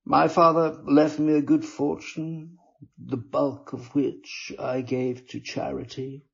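A man voicing a sly, falsely pious male sinner making a confession in a storybook reading, with a husky, breathy voice. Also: mid-range voice, flat delivery, slow pace, voice pushed up, heavily acted. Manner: wheedling, mock-devout. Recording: dull lo-fi recording.

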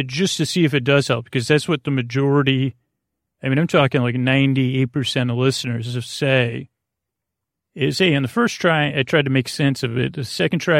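A man with a creaky, gravelly voice at a middling pitch, recorded close and dry. He hosts a bedtime podcast in a rambling, gentle way, reassuring the listener. His sentences halt, double back and drift away unfinished. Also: dry recording. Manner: meandering, halting, often repeats and trails off mid-thought. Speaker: podcast host. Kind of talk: rambling sleep podcast introduction, addressed to the listener.

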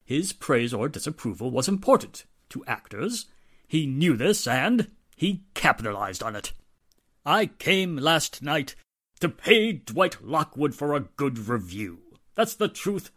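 Flustered, high-pitched male suspect, played by an actor. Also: sing-song, a little slow, pushed and bright, exaggerated voice. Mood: defensive. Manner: flustered, theatrical, over-precise. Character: nervous, prissy, evasive male murder suspect. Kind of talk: 1940s radio drama acting.

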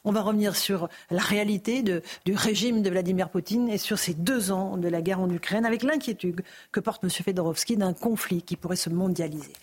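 A woman runs a live news debate with brisk, efficient cues. Her voice sits at a medium pitch, and she keeps the discussion moving with short prompts. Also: a little mellow. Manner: brisk, directs the talk with short interjections. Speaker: TV and radio talk show host.

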